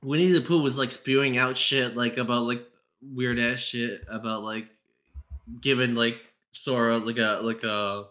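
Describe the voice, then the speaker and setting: Bright-voiced man, halting and rambling. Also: medium pitch, steady tone. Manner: halting and rambling, repeats and restarts phrases. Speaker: podcast panelist and fandub voice actor. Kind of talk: casual chat.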